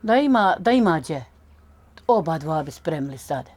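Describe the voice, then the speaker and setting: Mellow, low-pitched Bosnian woman. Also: sing-song, normal speed. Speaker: interviewee. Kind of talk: plain spoken recollection.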